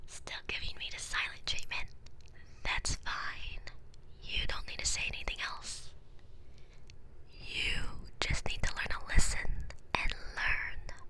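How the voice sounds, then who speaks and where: A woman voicing a commanding, possessive female vampire mistress in a binaural ASMR audio roleplay, speaking slowly and domineeringly, often whispering close to the ear. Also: bright, forceful tone, low-quality recording. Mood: whispered, seductive. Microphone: binaural microphone.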